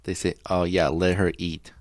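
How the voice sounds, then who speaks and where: A man's voice: low-pitched, flat delivery, moderate pace, dry recording, clean studio sound. A man whose first language is Armenian, co-hosting a casual chat over beers, laughing often and picking at word choices. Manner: laughs often, joking and nitpicky. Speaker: podcast co-host.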